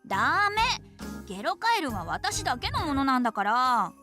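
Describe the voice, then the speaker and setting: A cutesy teenage anime girl, extremely high and girlish. Her delivery swings widely and playfully, with music playing behind her. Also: big pitch swings, quick pace, sharp tone, heavily acted. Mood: excited, possessive. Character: cutesy, playful teenage anime girls fawning over a mascot. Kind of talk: Japanese visual novel voice acting.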